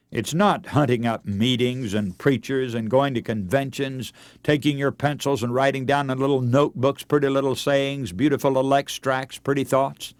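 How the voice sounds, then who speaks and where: Male radio evangelist, mid-pitched and lively, emphatic sermon delivery with weighty pauses, dry close sound. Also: no echo. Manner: emphatic, oratorical, with rhetorical repetition and deliberate pauses. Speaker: radio preacher and broadcast host.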